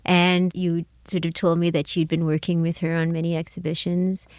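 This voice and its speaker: A woman with a fairly low voice conducts an arts interview. She is articulate and earnest, and the recording is very dry with no room sound. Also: level tone, normal speed, a little mellow, no echo. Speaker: interviewer and exhibition curator. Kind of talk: scripted introduction and conversational interview.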